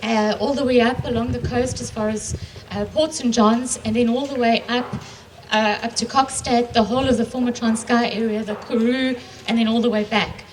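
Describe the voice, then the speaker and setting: A middle-aged woman introducing herself as a regional executive on a conference panel, in a brisk, businesslike way. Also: on the high side, steady tone. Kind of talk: panel introduction with a staged phone call. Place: conference room. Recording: distant mic, faint room noise.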